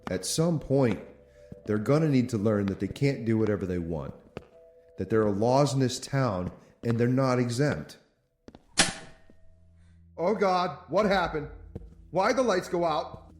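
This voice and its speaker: A man's voice: mid-range voice, expressive, measured pace, pauses often, a little mellow, a little hiss or hum, lightly acted. A man acting in an audio drama as an anxious townsman who presses with skeptical questions and is easily rattled. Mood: panicked. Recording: echoey tunnel-like reverb with music.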